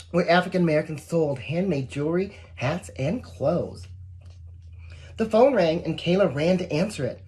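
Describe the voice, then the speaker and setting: Lady reading a kids picture book out loud, kinda low n husky, super expressive, chats to the kids. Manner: expressive, encouraging, talks to young listeners. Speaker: volunteer story reader. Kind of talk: read-aloud picture book for children, with asides.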